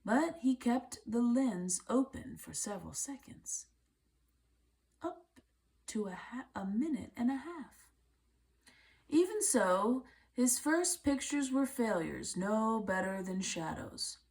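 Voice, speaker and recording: A woman reading a children's book aloud in a measured way, with deliberate phrasing and pauses. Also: a little high, big pitch swings, creaky, a little mellow. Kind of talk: read-aloud storybook narration.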